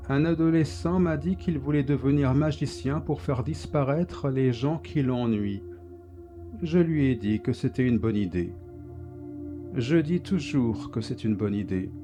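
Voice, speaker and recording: Slow-spoken man narrating a dark tale. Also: mid-range voice. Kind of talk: scripted first-person dark story narration.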